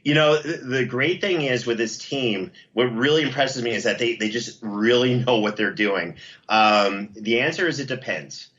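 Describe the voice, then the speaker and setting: Bright, enthusiastic man on a slightly dull line. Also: mid-range voice, moderate pace. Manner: enthusiastic, effusive. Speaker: interview guest, audio drama producer. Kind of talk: casual promotional interview talk.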